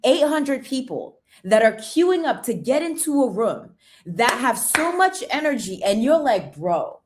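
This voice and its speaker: A woman's voice: fairly high pitch, big pitch swings, unhurried, noisy background. A woman hosting a motivational livestream, chatting casually and greeting viewers by name. She often tags her points with "right?" and English is not her first language. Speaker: livestream host, supplement seller.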